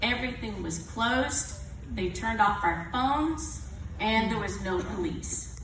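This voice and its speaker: A woman's voice: a little high, lively intonation, slow pace. Audience woman at a live event, big fervent voice, echoing through a noisy hall from far off. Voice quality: big, loud voice. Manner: earnest, fervent, rambling. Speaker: audience member asking a question. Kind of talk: emotional personal testimony.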